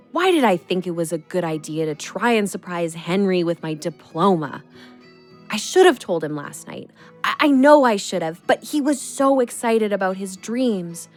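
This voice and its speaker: Actress as a lively, expressive young barmaid. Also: female voice, mid-range voice, lightly acted. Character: warm, guilt-ridden young barmaid who answers back. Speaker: narrator and lead character. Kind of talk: audio drama acting.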